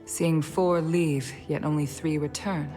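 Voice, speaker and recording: A woman playing a dignified, grieving middle-aged leader in a video game, speaking in a formal, measured and solemn way. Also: deep voice, steady tone, mellow. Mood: stunned, grieving. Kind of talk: scripted video game voice acting. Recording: game music under the voice. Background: game music.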